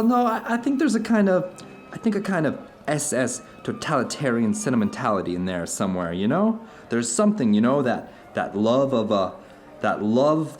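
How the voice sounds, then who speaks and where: A man's voice: medium pitch, a little mellow, lightly acted. An actor playing an introspective man who tells uncanny personal anecdotes to an old friend in an audio drama, rambling, earnest and emphatic. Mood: uneasy, searching. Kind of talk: audio drama dialogue, conversational monologue.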